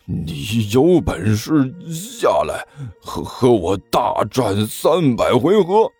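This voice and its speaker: A man's voice: medium pitch, big pitch swings, husky, no room sound, faint music behind, full character voice. A man voicing a self-styled great demon king in a comic story, blustering and indignant, stammering and dragging out his words. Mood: outraged. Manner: heavy stammer, drawn-out shouted words.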